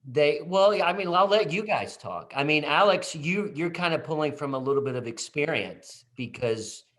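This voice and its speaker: A man's voice: on the high side, animated, normal speed, a bit bright. Rambling man directing on a video call. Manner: rambling, many restarts and fillers. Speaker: play director. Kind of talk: casual panel talk on a video call.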